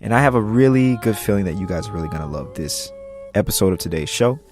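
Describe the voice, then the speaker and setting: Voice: deep voice, animated, quick pace, darkish tone, faint music behind. A podcast host introducing a guest and chatting in an interview.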